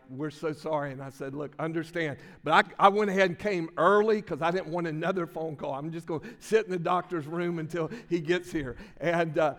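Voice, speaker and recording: An older male pastor preaching in a church sanctuary, his slightly high voice ringing with a long reverb. He tells stories in a folksy, conversational way, stumbling and restarting often. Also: a bit bright. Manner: folksy storytelling with many restarts. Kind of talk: sermon with personal story.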